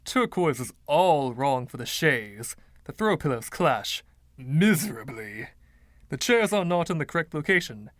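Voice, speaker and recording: A man's voice: a little high, sing-song, a little slow, fairly bright tone, dry recording, clean studio sound. A man voicing the haughty, indignant ghost of a rich 1920s dandy, pompous and fussy, with old-fashioned slang, in a story reading.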